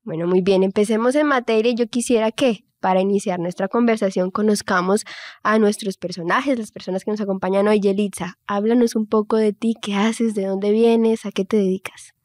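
Woman hosting a podcast, welcoming, clear intros, dry studio sound. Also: mid-range voice, fairly even intonation, moderate pace, darkish tone, no room sound. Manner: welcoming, structured presenter. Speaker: podcast host.

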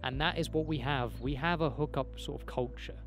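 A young man talks to the camera he holds, out in an open field. He is loose and candid, rambling, swearing and laughing as he goes. His pitch sits mid-range for a man, with faint music under the voice. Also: normal speed. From England. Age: young adult. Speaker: self-improvement vlogger. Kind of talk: casual talk to camera. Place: outdoors in a green field by a hedge. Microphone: handheld camera mic, close.

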